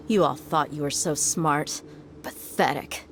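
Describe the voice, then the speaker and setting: A woman's voice: low-pitched, slow pace, heavy background noise. A woman voicing a confident female desert mercenary in a game cutscene, cocky and teasing. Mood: smug. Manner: cocky, teasing, laid-back. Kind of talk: video game cutscene dialogue.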